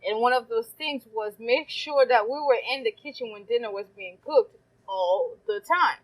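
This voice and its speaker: A woman's voice: a little high, normal speed, poor audio. A woman, telling stories as a guest in a casual interview and laughing often. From Haiti. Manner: lively storyteller, laughs often. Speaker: guest, caterer and café owner. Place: farmers market.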